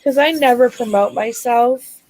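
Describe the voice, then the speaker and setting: An American woman with a raspy voice co-hosting a casual livestream, hesitant and full of ums. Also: fairly high pitch, a little slow, a little mellow, dry recording, low-quality recording. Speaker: livestream co-host.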